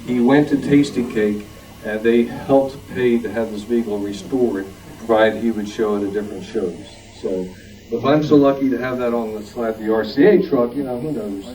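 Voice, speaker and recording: A man giving a casual, halting show-and-tell talk to a group, telling anecdotes as he goes. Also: mid-range voice, warm tone, soft background music. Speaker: club member at show and tell. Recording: distant room microphone.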